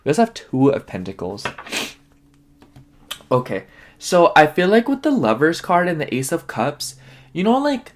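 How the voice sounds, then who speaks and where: A man's voice: on the high side, huge pitch swings, moderate pace, long pauses. A man giving a casual tarot reading, rambling with lots of fillers, his voice sniffly and nasal. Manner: chatty, rambling, heavy on fillers. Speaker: tarot reader.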